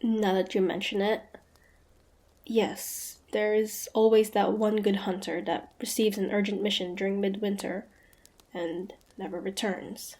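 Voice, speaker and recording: A woman's voice: medium pitch, little pitch movement, measured pace, a little mellow, natural voice. A woman playing a proud, suspicious young female hunter in a fantasy audio roleplay, guarded and easily flustered, with a grudging tsundere streak. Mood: doubtful, shaken.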